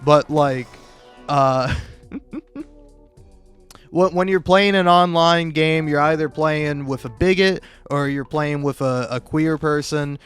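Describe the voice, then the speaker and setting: A man's voice: a little high, slow, clear, resonant tone. A man rambling casually on his own as a video creator, self-deprecating and joking, with stammered false starts and plenty of swearing. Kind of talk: rambling casual solo commentary. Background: background music.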